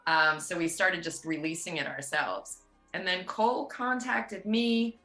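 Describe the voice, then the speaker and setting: A woman singer from near Pittsburgh talks in a chatty, rambling way. She has a low, forceful rock voice with a bright edge, and the recording is roomy and echoey. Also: steady tone, unhurried, soft background music. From USA, outside Pittsburgh. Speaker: singer, interview guest. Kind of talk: casual interview chat. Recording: roomy echo.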